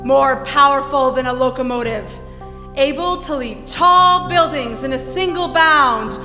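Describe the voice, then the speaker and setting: A woman's voice: high voice, unhurried, over music. A woman narrating an old-time radio adventure serial in an emphatic, theatrical announcer style. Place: theatre stage, live performance. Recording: echoey hall, long reverb.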